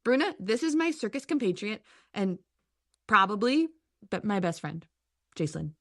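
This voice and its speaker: A woman's voice: medium pitch, very animated, moderate pace, no echo, clean studio sound. A woman playing an earnest, flustered young circus adventurer in an audio drama, self-deprecating and a little hesitant. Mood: warm, awkward. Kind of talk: audio drama character acting and narration.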